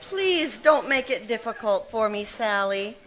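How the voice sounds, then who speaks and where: A woman's voice: a little high, expressive, a bit bright, slight background noise. A woman playing a scheming, stammering spinster. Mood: pleading. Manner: halting, stammering show of distress. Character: scheming, nervous-seeming spinster sister. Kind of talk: live recreation of 1940s radio mystery acting.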